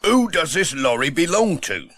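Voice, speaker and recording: Man playing a fussy, officious village policeman, raised pitch. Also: big pitch swings, moderate pace, a bit bright, no echo. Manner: officious, repetitive commands. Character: bossy, fussy village policeman taking charge. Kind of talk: children's storybook audiobook character voice.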